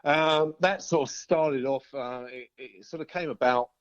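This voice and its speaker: A man's voice: on the high side, unhurried, dry recording. Hesitant man, dull lo-fi sound. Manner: hesitant, rambling, easygoing. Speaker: band musician, interview guest. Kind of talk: casual interview chat. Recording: dull lo-fi call audio.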